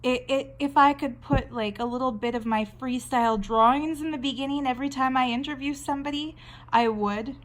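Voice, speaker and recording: A woman's voice: sits high, steady tone, fairly quick. A Canadian woman talking casually while she draws, rambling and chatty with frequent restarts. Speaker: artist vlogger. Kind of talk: casual chat while drawing.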